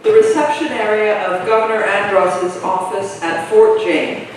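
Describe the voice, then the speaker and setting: A woman's voice: mid-range voice, lively intonation, a little slow. A woman reading scripted stage directions at a play reading. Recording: echoey hall, far from mic, faint noise.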